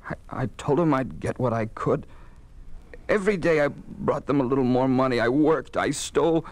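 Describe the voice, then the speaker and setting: An actor playing a sick, desperate widower in a 1950s radio drama, speaking in a halting, haunted, intense way. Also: male voice, medium pitch, darkish tone, no room sound, close to an everyday voice. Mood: bitter grief. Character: broken, bitter grieving widower, sick and desperate.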